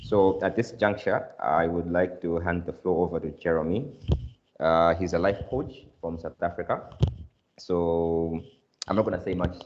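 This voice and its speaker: A man hosting a business event, presenting study findings and introducing a guest speaker in a measured way with frequent uh fillers. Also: sits low, steady tone, darkish tone, slight background noise. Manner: measured, with uh fillers and sort-of phrasing. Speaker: event host. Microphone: video call. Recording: muffled video call audio.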